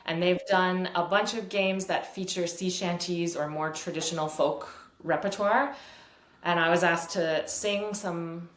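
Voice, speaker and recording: Low-voiced woman, echoey video call. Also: measured pace, a little hiss or hum. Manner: relaxed, laughs easily. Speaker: fiddle teacher and musician. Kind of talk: casual interview answers.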